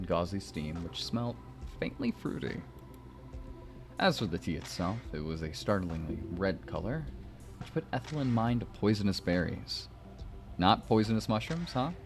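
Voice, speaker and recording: A man's voice: deep voice, lively intonation, measured pace. A man hosting a stream, chatting casually and narrating a visual novel aloud over music. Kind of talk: casual chat and expressive narration of a story. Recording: music behind the voice. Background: background music from the game.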